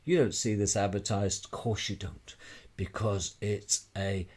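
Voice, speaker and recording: A man's voice: on the low side, steady tone, unhurried, darkish tone. A man giving an informal, emphatic explanatory monologue as an amateur presenter, repeating phrases and posing rhetorical questions.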